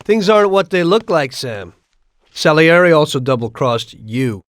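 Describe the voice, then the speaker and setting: A man's voice: a little high, very animated, normal speed, no room sound, studio quality. A man playing an earnest American mobster pleading his case to an old friend in a crime game. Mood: urgent, persuading. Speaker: voice actor in a game cutscene. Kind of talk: dramatic crime game acting.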